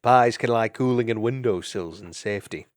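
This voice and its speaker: A man playing a calm, wry mouse warrior. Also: on the low side, normal speed, no room sound, studio quality, close to an everyday voice. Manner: calm, wry, gently teasing. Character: steady brave male mouse warrior.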